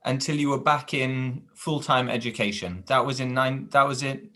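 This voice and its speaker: A man's voice: medium pitch, steady tone, normal speed, a bit bright. A man interviewing, asking short, direct questions.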